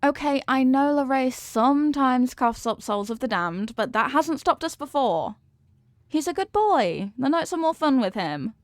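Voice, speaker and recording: A woman's voice: sits high, expressive, unhurried, no echo. A woman playing a sweet, devoted headless horsewoman girlfriend in an intimate ASMR roleplay, affectionate, playful and gushing. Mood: dreamy, loving.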